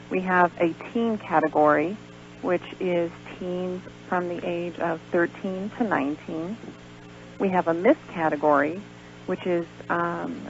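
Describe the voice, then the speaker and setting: A woman speaking as a guest in an unscripted radio interview over the telephone. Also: lowish voice. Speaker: interview guest, pageant director. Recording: dull, noisy telephone line on radio.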